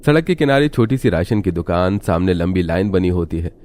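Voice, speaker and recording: A man's voice: sits low, lively intonation, brisk, darkish tone. A man reading scripted story narration as the narrator.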